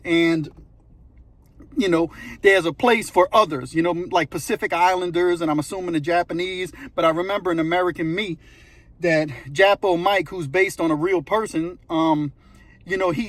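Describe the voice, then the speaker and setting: A middle-aged man speaks in a fairly high voice. He tells his story casually and loosely, circling back with conversational asides as he goes. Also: no echo. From West Bank of New Orleans, Louisiana. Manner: rambling, conversational, fond of "you know what I mean". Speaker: former prisoner telling his story.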